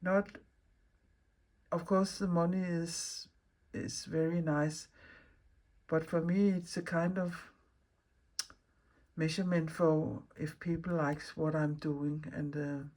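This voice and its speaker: A woman with a Danish accent and a slightly low voice talks casually as the host of a craft vlog. She is a non-native speaker who rambles, pauses and hunts for the right word as she goes. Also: steady tone, darkish tone. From Denmark. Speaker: mixed media artist and video host.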